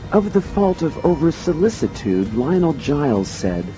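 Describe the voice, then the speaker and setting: A man's voice: a little high, sing-song, moderate pace, mellow, faint music behind. A man reading scripted audiobook narration in a formal, expository manner.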